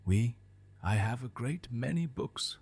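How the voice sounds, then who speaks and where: An actor playing a nervous, evasive bookshop owner in a British audio drama, hesitant and apologetic, with a creaky voice. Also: male voice, mid-range voice, animated, takes it slowly, a little mellow, dry recording, heavily acted. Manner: hesitant, fidgety.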